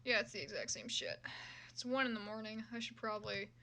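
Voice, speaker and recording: A woman's voice: mid-range voice, animated, fairly quick, pushed and bright. A woman livestreamer chatting casually and reacting as she browses, with a sweary, exclaiming, self-deprecating manner. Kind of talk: casual livestream chat, reacting to fan fiction.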